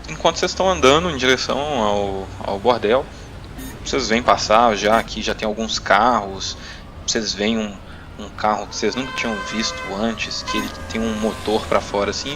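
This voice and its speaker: A man's voice: lowish voice, moderate pace, a bit bright, slight background noise. A Brazilian man narrating a tabletop role-playing game as game master, improvising in a conversational way and thinking aloud. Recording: background music under the voice. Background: clear music.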